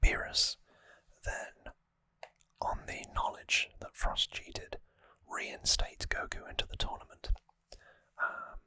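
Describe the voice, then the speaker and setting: A person whispering an ASMR recap in a hushed, breathy, conversational way, close to the microphone. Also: slow, dry recording. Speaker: ASMR host. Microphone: close, dry microphone.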